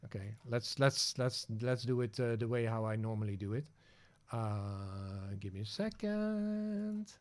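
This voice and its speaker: A Dutch man hosts a relaxed livestream tutorial, explaining in English as he works through his screen. He thinks aloud with plenty of fillers and self-corrections, and his voice sits at an ordinary male pitch. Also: a little slow. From Netherlands. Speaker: livestream host. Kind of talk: casual screen-share tutorial.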